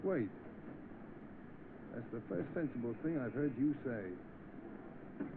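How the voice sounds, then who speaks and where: An actor with a British accent playing a plain-spoken, defiant young chauffeur in an old film. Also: male voice, medium pitch, expressive, slow pace. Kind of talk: vintage film acting. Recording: tinny, noisy old film soundtrack.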